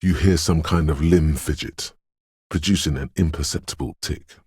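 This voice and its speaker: A man voicing a detective's eerie, dreamy intuition in a video game, narrating in a husky, hushed, ominous and poetic way. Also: normal speed, dry recording, clean studio sound, heavily acted. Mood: eerie, wistful. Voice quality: husky, gravelly bass. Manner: hushed, ominous, poetic, lingering on short phrases. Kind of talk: atmospheric second-person video game narration.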